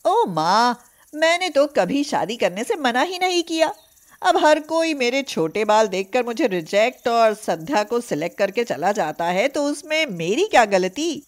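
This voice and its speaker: A woman voicing a feisty, outspoken young adult daughter in an animated moral story. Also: on the high side, a little slow. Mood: exasperated.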